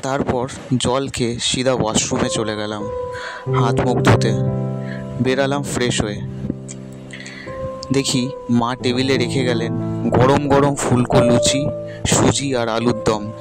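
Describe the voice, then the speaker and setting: A man's voice: mid-range voice, flat delivery, measured pace, long pauses. A man narrating a first-person story as a storyteller. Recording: loud plucked-guitar music behind voice. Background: guitar music.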